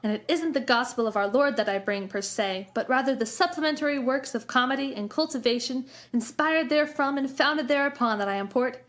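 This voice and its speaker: A woman's voice: high-pitched, fairly quick, few pauses, fairly bright tone. A woman playing an eager, genteel, starry-eyed lady missionary in a western comedy audio drama, with flowery, grandiloquent speech. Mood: lofty.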